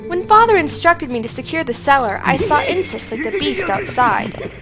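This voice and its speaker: A cautious young girl voiced by a woman speaks formally in a video game scene. The voice is extremely high and solemn, with clear music behind it. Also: very animated, normal speed. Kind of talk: fan-made video game voice acting.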